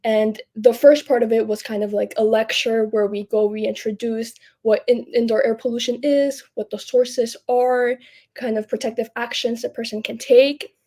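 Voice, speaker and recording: A woman's voice: fairly high pitch, fairly even intonation, normal speed, dark tone. A woman in her twenties, a student researcher, explaining things conversationally as an interview guest. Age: 20s. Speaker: podcast guest, public health student researcher.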